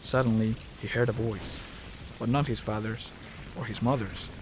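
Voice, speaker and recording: A man's voice: on the low side, fairly even intonation, moderate pace, noisy background. A man narrating a scripted horror story as the narrator, recorded close to the mic.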